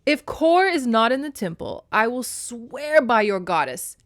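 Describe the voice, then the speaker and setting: A commanding king of the gods, acted by a woman reading a storybook. He is measured, emphatic and defensive, asserting his authority. The recording is dry and close. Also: fairly high pitch, sing-song, dry recording, pitched above the performer's own voice. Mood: indignant denial.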